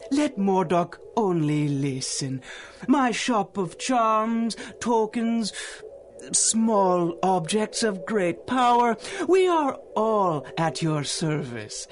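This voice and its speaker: A servile, scheming merchant of exotic charms for a period radio play. He speaks in a highish male voice, drawing out his formal, flattering phrases at a very slow pace, over noticeable background ambience. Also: a little hiss or hum, heavily acted. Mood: servile flattery. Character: obsequious, sly exotic curio-shop keeper dealing in charms. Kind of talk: 1930s-set radio drama acting.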